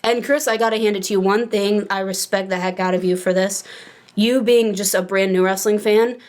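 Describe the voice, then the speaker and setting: A woman's voice: medium pitch, fairly even intonation, brisk, darkish tone. A woman in her twenties co-hosting a casual podcast, talking in an earnest, emphatic way. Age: 20s. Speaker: podcast co-host.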